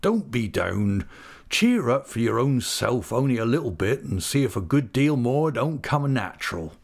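A man's voice: medium pitch, very animated, moderate pace, creaky, voice pushed up. A man voicing a hearty, kindly older fisherman in a storybook reading, with rural English dialect and jovial, homely speech. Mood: hearty reassurance.

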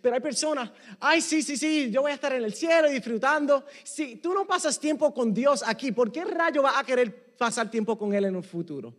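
A Puerto Rican man preaches a sermon with a high voice. He moves between Spanish and English, pressing hard on some phrases and dropping to a hush on others. The sound carries a long hall echo. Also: expressive, fairly quick. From Puerto Rico. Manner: emphatic, rising and dropping to near hush. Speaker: pastor.

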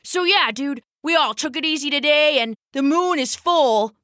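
A woman's voice: high-pitched, lively intonation, normal speed, bright, forceful tone, no echo, studio quality, pitched above the performer's own voice. A woman voicing a laid-back male werewolf who talks like a surfer dude, in a storybook reading. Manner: surfer slang, drawling and easygoing.

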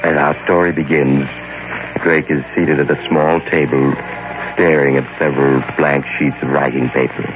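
An actor playing an eerie, sardonic mysterious storyteller, slow and theatrical, in 1940s radio mystery style. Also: male voice, a bass voice, steady tone. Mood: grave, suspenseful. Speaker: host and narrator. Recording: muffled old radio broadcast, loud music.

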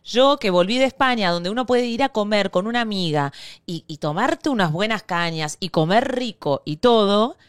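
Animated Argentine woman telling stories. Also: medium pitch, normal speed, clean tone, dry recording, clean studio sound. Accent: Argentine Spanish. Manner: animated storyteller, acts out quotes, laughs often. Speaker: podcast co-host. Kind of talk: casual chat.

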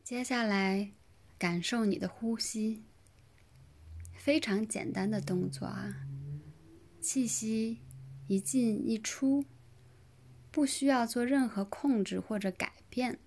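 A woman leading a guided relaxation meditation in a gentle, soothing manner, with pauses between short phrases. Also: fairly high pitch. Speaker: yoga nidra meditation guide.

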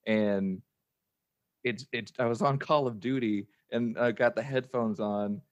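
A man's voice: medium pitch, steady tone, measured pace, no echo, lo-fi sound. An adult man talking casually on a podcast, telling stories in an informal, chatty way. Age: adult with a young child. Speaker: podcast guest and voice actor. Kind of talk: casual chat.

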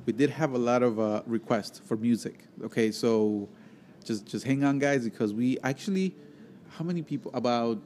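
Male host with a Spanish accent, joking casual banter, average pitch, recorded in a restaurant. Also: normal speed, darkish tone, slight background noise. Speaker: podcast host.

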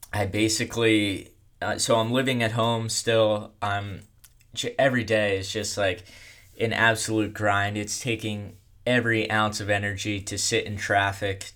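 Monotone young man, low flat voice. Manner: monotone, rambling with many fillers. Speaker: content creator. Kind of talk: casual storytelling monologue.

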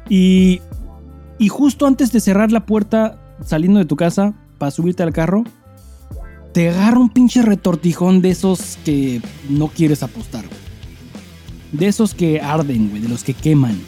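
A man's voice: high-pitched, expressive, moderate pace, mellow, soft background music. A Mexican man co-hosting a comedy podcast, chatting casually with vulgar slang and crude storytelling. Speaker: podcast co-host.

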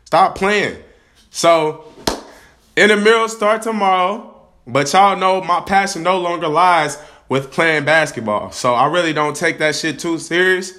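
A young man hosting his own podcast, hyped and slangy, hoarse from a cold. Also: a little high, a little slow, sharp tone. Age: young adult. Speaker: podcast host. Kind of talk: casual vlog-style podcast talk. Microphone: camera.

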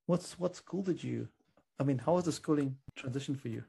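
A man's voice: mid-range voice, brisk, vocal fry, warm tone, breathy edge. A man interviewing a guest, affirming his answers with enthusiasm before asking the next question. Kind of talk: conversational interview.

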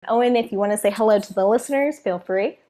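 A woman hosting a podcast interview at an ordinary mid-range pitch. She sounds upbeat and eager, welcoming her guest and asking questions with clear enthusiasm. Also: quick pace, mellow. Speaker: podcast host.

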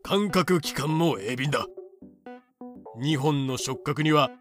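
A man's voice: on the high side, takes it slowly, soft background music. A man voicing a confident, matter-of-fact cockroach, explaining in casual masculine phrasing for a fan-made voice drama. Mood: confident, explanatory.

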